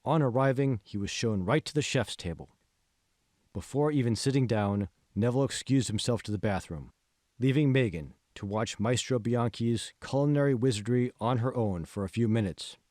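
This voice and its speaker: Male audiobook narrator: slightly low voice, scripted storytelling read, close dry recording. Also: darkish tone, no room sound. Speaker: author reading own audiobook.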